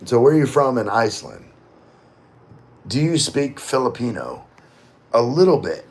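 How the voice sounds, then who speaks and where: A man's voice: medium pitch, very animated, normal speed, a little hiss or hum. A middle-aged man hosting a casual livestream, reading out viewer comments and answering them in a rambling, informal way. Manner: rambling, informal, occasionally swearing. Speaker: livestream host.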